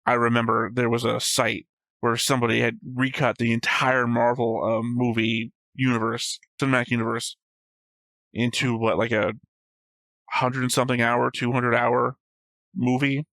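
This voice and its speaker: A man with a fairly flat, even delivery talks casually, like a show host thinking aloud. He rambles through explanations in an informal way, and the sound is close and dry. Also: medium pitch, normal speed, no echo. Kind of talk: casual chat, discussing an anime episode.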